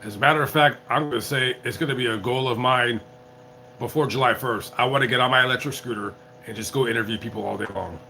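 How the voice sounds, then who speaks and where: A tipsy man live-streaming as a YouTuber, chatting casually in a rambling, halting way full of restarts. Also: medium pitch, gravelly, bright, forceful tone, a little hiss or hum, poor audio. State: tipsy from drinking. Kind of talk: casual livestream chat.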